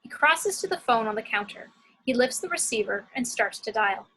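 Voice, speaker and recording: A woman's voice: a little high, lively intonation, normal speed, noisy background. A woman reading scripted action descriptions as the narrator of a screenplay table read.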